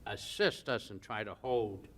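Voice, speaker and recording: A man serving as an alderman, asking questions at a city board meeting in a loud, chatty, booming voice. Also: unhurried, distant mic, a little hiss or hum.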